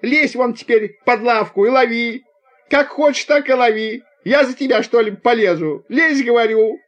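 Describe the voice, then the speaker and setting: A young woman train conductor in an audiobook story , acted by a man, high and strained. She is bossy and flustered, scolding in loud exclamations that swoop about. The recording is a bit dull. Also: lively intonation, unhurried. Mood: frantic, scolding. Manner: scolding, shouting, tearful exclamations.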